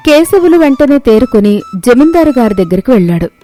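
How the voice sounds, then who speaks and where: A woman with a fairly high voice tells a moral story as scripted narration. She works as the storyteller, and soft music plays behind her. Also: sing-song, brisk.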